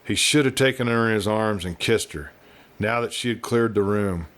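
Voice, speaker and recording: A man reading a western story aloud as scripted narration, with a dramatic, expressive delivery. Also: fairly low pitch, moderate pace. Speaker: audiobook reader and show host.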